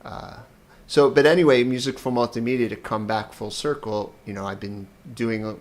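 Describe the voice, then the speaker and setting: A man's voice: mid-range voice, steady tone, normal speed. A man co-hosting a live stream as its sound technician, talking in a hesitant, rambling, self-deprecating way. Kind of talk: casual chat.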